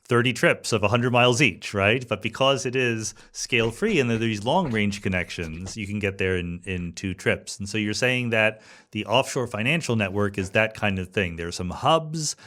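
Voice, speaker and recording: Male podcast host, fairly low register, conversational and explanatory interview delivery. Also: animated, normal speed, few pauses, fairly bright tone.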